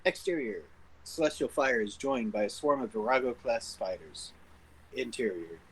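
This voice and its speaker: A man's voice: mid-range voice, animated, moderate pace, slightly rough, rough recording. A man serving as narrator, reading screenplay stage directions at a table read.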